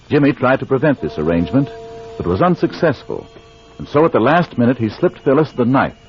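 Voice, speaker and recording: Animated male radio serial announcer. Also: mid-range voice. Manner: formal, dramatic. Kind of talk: old-time radio drama narration. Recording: dull old radio recording with music.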